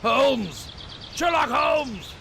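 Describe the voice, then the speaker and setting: An actor playing a vengeful, murderous criminal mastermind in a melodramatic radio drama, with a gravelly, theatrical delivery. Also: male voice, very high-pitched, lively intonation, background noise, heavily acted. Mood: menacing call. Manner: slow, theatrical, erupting into shouts.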